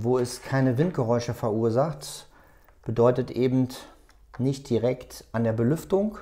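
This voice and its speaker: A man hosting a hands-on tutorial, explaining step by step in a halting, unscripted way as he works. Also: mid-range voice, mellow. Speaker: tutorial host. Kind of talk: hands-on tutorial, talking while working.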